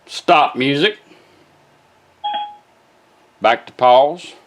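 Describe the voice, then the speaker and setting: Male product demonstrator, informal and folksy, medium pitch, casual hands-on walkthrough. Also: extremely slow. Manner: folksy, informal, talks to the gadget.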